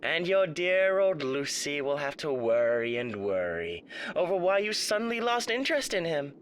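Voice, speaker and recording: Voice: mid-range voice, a bit bright, a little hiss or hum. An actor playing a smug, sadistic male radio demon in an ASMR roleplay, theatrical, mock-polite and taunting. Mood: gleeful cruelty.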